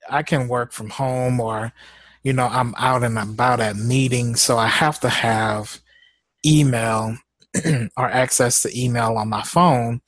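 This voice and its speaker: Slow, laid-back congested man. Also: mid-range voice, a bit bright, no echo. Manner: laid-back, rambling, laughs often; slow, drawn-out talk. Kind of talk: casual chat.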